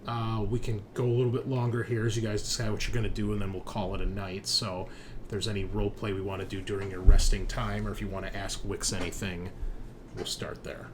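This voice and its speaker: A man's voice: lowish voice, little pitch movement, a little fast, background noise. A man speaking as game master, directing players through a tabletop roleplaying game in an organized way.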